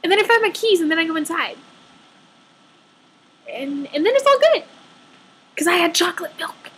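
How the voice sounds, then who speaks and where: A young woman telling a casual personal story in an animated, exaggerated way, acting out her own asides as a storytelling vlogger. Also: very high-pitched, moderate pace.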